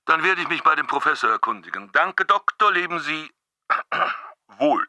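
An actor playing a gruff, irritable police commissioner in a German radio play, grumbling and blunt. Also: male voice, fairly high pitch, lively intonation, moderate pace, noisy background, lightly acted. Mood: businesslike.

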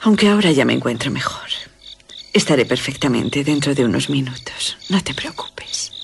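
An actress playing a languid, enigmatic young woman in a radio drama, speaking Castilian Spanish with breathy, passionate tenderness. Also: a bass voice, lively intonation, moderate pace, background noise, heavily acted. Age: young adult.

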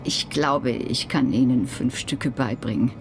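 A woman's voice: rumbling low, unhurried. An actress playing a polite, hesitant, earnest female piano teacher in a German radio crime drama.

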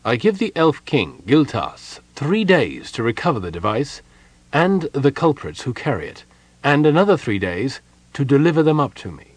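Male narrator as cautious knight officer, respectful, earnest, very varied pitch, storybook. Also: sing-song, moderate pace, no echo, poor audio. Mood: formal reading.

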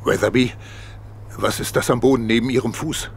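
An actor playing a brisk, commanding senior police officer in a radio play. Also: male voice, medium pitch, steady tone, gravelly, a little hiss or hum, close to an everyday voice. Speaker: police chief.

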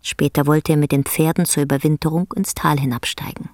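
An actress as a grave, contemplative storyteller, narrating a radio play in a husky, breathy voice. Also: female voice, low-pitched, steady tone, brisk, a little mellow, no echo, studio quality, natural voice. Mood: grave, reflective.

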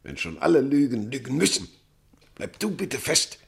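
A grave pastor and father in a radio drama. His voice is gravelly, at a normal male pitch. He speaks solemnly and with measure, as if pleading for firmness. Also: expressive, normal speed. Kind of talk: German radio play acting.

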